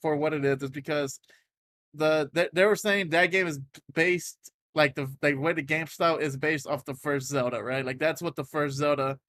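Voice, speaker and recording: A man co-hosting a casual gaming podcast, loud and animated, tripping over restarts as he makes his points. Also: on the high side, moderate pace, no room sound, clean studio sound. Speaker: podcast co-host. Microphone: desk mic, podcast setup.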